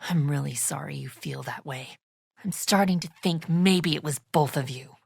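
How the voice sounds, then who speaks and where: A woman's voice: sits low, moderate pace, vocal fry, slightly rough, dry recording, clean studio sound, exaggerated voice. An actress playing a tense, suspicious woman survivor of a zombie apocalypse in a video game, blunt and accusatory in manner. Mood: bitter, cold. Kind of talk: dramatic video game acting.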